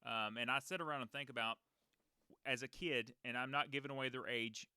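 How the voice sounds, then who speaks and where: A man in his thirties with a deep voice, a race announcer hosting a casual podcast and telling long stories with many fillers. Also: steady tone, fairly quick, sharp tone, clean tone, no echo, clean studio sound. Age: 30s. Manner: rambling storyteller, many fillers and restarts.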